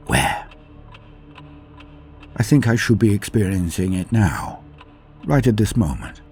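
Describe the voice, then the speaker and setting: A man narrating a dramatic first-person war story as a grim, brooding space marine commander, grave and deliberate, with theatrical pauses. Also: deep voice, a little mellow. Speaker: lore channel narrator.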